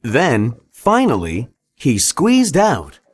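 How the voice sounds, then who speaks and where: Slow, very lively male storybook narrator. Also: medium pitch, breathy edge, dry recording. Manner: careful, expressive storytelling. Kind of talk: scripted children's read-along narration.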